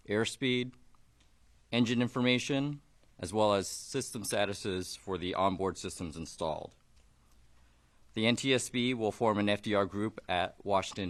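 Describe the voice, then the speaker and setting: A man speaking as a technical investigator, giving a formal briefing in a factual, matter-of-fact way. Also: on the low side.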